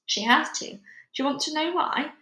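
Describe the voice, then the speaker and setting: A woman's voice: sits high, moderate pace, sharp tone, voice pushed up. A woman voicing a stern, knowing old grandmother in a storybook, brusque and instructive. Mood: knowing, emphatic. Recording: roomy, echoey home recording.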